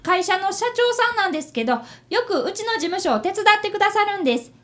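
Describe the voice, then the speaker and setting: Woman voicing a very high-pitched, earnest young boy. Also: very animated, quick pace, a bit bright, exaggerated voice. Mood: attentive, polite. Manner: polite, eager, earnest. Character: polite, earnest fifth-grade boy, apprentice detective. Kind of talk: radio drama acting with first-person narration.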